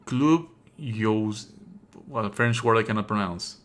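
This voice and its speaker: A man's voice: lowish voice, a little slow, fairly bright tone. A man streaming a let's play, reading on-screen text aloud and adding sarcastic, exasperated asides. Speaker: streamer playing a visual novel.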